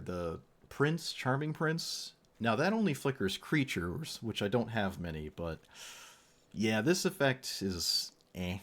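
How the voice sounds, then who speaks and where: Male streamer, conversational unscripted game commentary, opinionated asides, slightly tired voice. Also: medium pitch. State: voice getting tired, losing it. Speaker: streamer reviewing card game decks.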